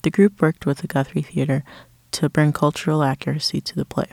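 A man's voice: fairly high pitch, fairly even intonation, fairly quick, gravelly, no room sound, studio quality. A man from Nigeria reading a scripted college news story, moving into a reflective first-person account. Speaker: student reporter.

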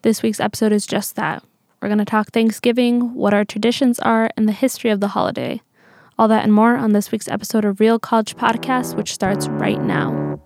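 A woman's voice: fairly high pitch, flat delivery, a little fast, creaky, darkish tone. A woman hosting a student podcast, reading the show intro in an upbeat announcer-like way. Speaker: podcast host. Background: music.

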